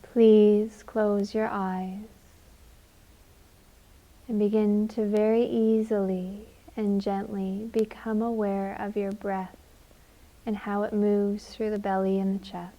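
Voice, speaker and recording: A woman leading a guided meditation in a gentle, soothing manner, leaving long unhurried pauses. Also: mid-range voice, little pitch movement, muffled recording.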